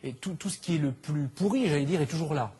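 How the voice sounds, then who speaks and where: A man anchoring a news program, presenting a report and interviewing a guest smoothly. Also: fairly high pitch, quick pace, dark tone. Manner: smooth presenting, brief hums while listening. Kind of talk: studio interview.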